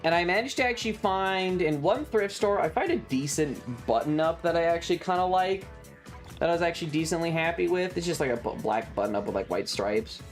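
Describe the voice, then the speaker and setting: A livestreamer chatting casually while gaming, rambling and halting, with a wry, sarcastic manner. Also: mid-range voice, lively intonation. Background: music.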